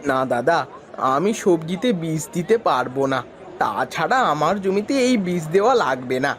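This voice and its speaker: A man voicing a mild but stubborn, honest village farmer in an animated moral tale. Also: a little high, moderate pace, some chatter behind, natural voice. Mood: firm.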